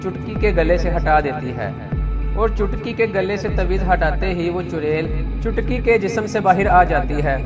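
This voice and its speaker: A man's voice: high-pitched, expressive, moderate pace. A man narrating a scripted story as a storyteller. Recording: music behind the voice.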